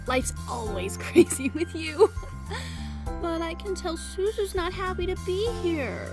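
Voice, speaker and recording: A woman's voice: extremely high pitch, expressive, measured pace, music in the background, voice pushed up. A woman voicing a bubbly, affectionate young waitress with a gushing manner, for a visual novel. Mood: playful. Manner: gushing, giggly.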